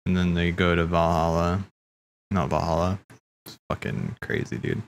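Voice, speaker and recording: A man's voice: low voice, level tone, normal speed, creaky, slight background noise. A man hosting a casual watch party, rambling and thinking aloud in slangy speech as he comments. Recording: game music playing behind. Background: video game cutscene music.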